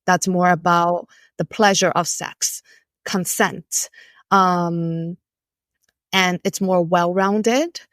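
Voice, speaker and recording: A woman from Taiwan, a company co-founder, speaking as a podcast guest in a measured, thoughtful way with frequent pauses and fillers. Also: fairly low pitch, steady tone, no echo. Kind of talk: podcast conversation.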